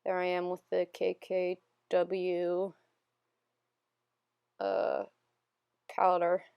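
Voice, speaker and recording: Chatty young woman, gravelly and dry. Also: fairly low pitch, monotone, very slow, no echo, muffled recording. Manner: chatty, self-deprecating, rambling with fillers. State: slightly hoarse, recovering from illness. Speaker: beauty vlogger. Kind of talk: casual voiceover for a makeup tutorial.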